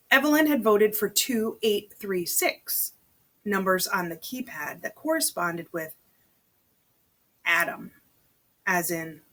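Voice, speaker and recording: A woman reads a novel aloud in an informal, conversational way. Her voice is a little husky and sits at a medium pitch. She often drops out of the narration to comment directly to the listener. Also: a bit bright, low-quality recording. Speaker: amateur read-aloud reader. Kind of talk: reading a novel aloud with chatty personal asides.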